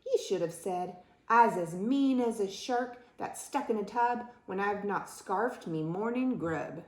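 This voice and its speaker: A woman's voice: mid-range voice, expressive, unhurried, lightly acted. Lady doing a blustery pirate captain guy, bellows in pirate talk, demanding, storybook style, echoey. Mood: scolding. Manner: bellowing, rolling pirate dialect.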